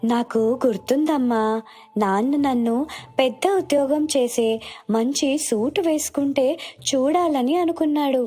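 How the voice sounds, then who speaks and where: A woman's voice: high-pitched, unhurried, dark tone, soft background music. A woman voicing a sweet, obedient little schoolgirl in a story cartoon, with a childlike, earnest manner.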